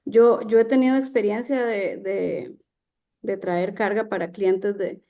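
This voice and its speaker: A woman's voice: mid-range voice, fairly even intonation, a little slow, gravelly, dull audio. A woman in her thirties answering questions in an online talk on trade, hesitant, with many fillers and restarts. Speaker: guest speaker on trade. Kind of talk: lecture Q&A.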